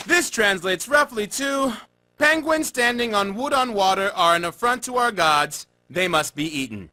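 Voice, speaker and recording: A man voicing an excitable penguin surf reporter in a video game, delivering dramatic sports news like a surfer dude. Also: sits high, normal speed. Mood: urgent reporting. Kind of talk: TV sports news broadcast parody. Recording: loud music behind voice.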